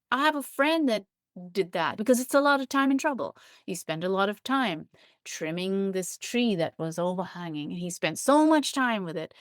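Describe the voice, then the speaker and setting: A woman's voice: medium pitch, no echo, clean studio sound. A woman giving thoughtful, explanatory answers as an expert guest in an interview. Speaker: interview guest, biologist and writer.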